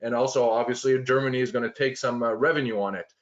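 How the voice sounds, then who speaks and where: Guy demoing planning software, lots of uhs and restarts, kinda dull lo-fi sound. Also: medium pitch, fairly even intonation, fast talker. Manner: explanatory, many fillers and restarts. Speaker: software trainer. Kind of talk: screen-share software walkthrough.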